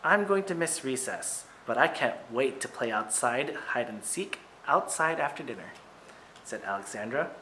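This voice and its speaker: A man who is a teacher reading a picture book aloud to children, with expressive, emphatic phrasing and short asides to his listeners. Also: medium pitch, moderate pace, a little hiss or hum, rough recording.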